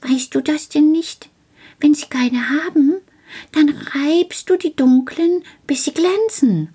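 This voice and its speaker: A woman voicing a small, excitable male gnome who is eager, childlike and likes to repeat words in a storybook tale. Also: high voice, slow, voice pushed up. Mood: eager, explaining.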